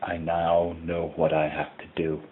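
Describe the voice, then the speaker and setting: A man playing a haunted, dread-filled character who records a horror audio log in grave, deliberate, formal language, with a husky voice. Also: deep voice, completely flat delivery, narrow, tinny audio. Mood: bleak, resigned. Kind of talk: scripted first-person horror narration.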